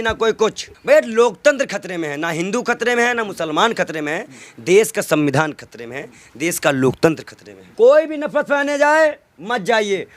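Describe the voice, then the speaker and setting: A man with a strikingly high-pitched voice in an impassioned political interview. He argues indignantly, piling up rhetorical questions and repeating key phrases for emphasis. The sound is dry, with almost no room echo. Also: fairly bright tone.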